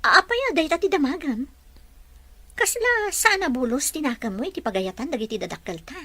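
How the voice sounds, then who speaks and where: Young Ilocano woman in love, sincere and animated; high, bright tone, actress playing it. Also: moderate pace, heavily acted. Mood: questioning. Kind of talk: audio drama acting.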